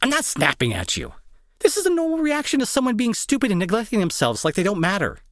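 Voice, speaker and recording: A man acting in an intimate audio roleplay as a gruff young bully who is secretly worried and protective, talking to the listener with sarcasm and exasperation. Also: high-pitched, wildly swooping pitch, quick pace, fairly bright tone, dry recording, studio quality, exaggerated voice. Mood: exasperated, scolding.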